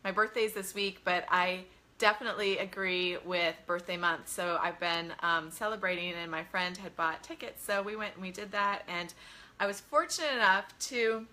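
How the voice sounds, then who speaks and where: A woman's voice: on the low side, normal speed, sharp tone. A woman hosting a casual live bedtime-reading stream as a children's author, chatting to viewers in a gushing, enthusiastic way. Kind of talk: casual livestream chat with viewers.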